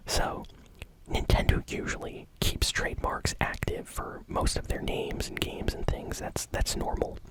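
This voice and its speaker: A host whispering informal, enthusiastic video game news in an ASMR style. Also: measured pace. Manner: whispering throughout. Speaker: host of a gaming news show.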